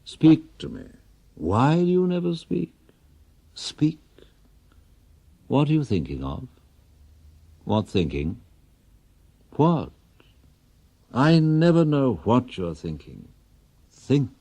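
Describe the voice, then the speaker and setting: Man acting nervous woman, demanding, agitated, pitched up high, insistent questions, poetry recital. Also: huge pitch swings, slow, gravelly, long pauses, warm tone, no echo, full character voice. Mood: agitated, pleading. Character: nervous, demanding upper-class woman, anxious and restless.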